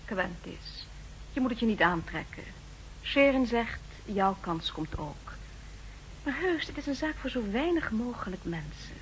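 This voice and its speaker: An actress playing an earnest, cautious intelligence office colleague in a 1960s radio drama, soft-spoken and a little hesitant. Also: female voice, on the high side, sing-song, unhurried, a little hiss or hum, poor audio. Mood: concerned, uneasy.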